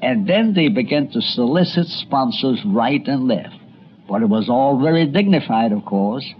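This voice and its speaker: A man's voice: mid-range voice, lively intonation, moderate pace, slight background noise. A man narrating a scripted history documentary like a storyteller, with conversational asides. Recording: dull, lo-fi old recording.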